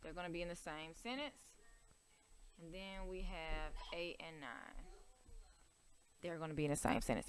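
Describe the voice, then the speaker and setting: A woman's voice: lowish voice, clear, resonant tone. A young woman teacher explaining a writing worksheet to young children step by step, in a patient, careful way. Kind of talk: recorded writing lesson over a worksheet.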